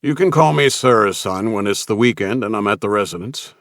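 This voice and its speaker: A man's voice: on the low side, lively intonation, normal speed, a bit bright, no echo, studio quality. A male narrator voicing a friendly, self-assured older politician.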